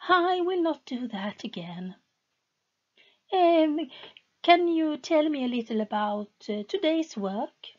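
A woman's voice: high voice, animated, takes it slowly, voice pushed up. A woman voicing an anxious, timid young wife who speaks hesitantly and apologizes, on a dull lo-fi recording.

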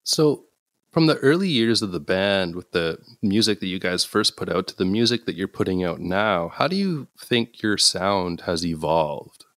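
A man's voice: low-pitched, lively intonation, normal speed, fairly bright tone, no room sound, clean studio sound. A man hosting a music interview podcast, asking casual questions and laughing lightly. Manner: friendly, laughs between questions. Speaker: podcast host.

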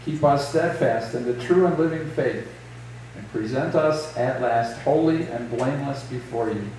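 A middle-aged man, a pastor, leading liturgy and reading scripture in a measured, solemn way. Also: medium pitch, darkish tone, a little hiss or hum. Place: small church sanctuary. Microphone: distant camera, later lectern mic. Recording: echoey church from a distance.

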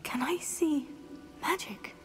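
A respectful young student witch in a fantasy game. Her high voice is unhurried and curious, over prominent music. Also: fairly bright tone, in a whisper. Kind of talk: cinematic fantasy game acting.

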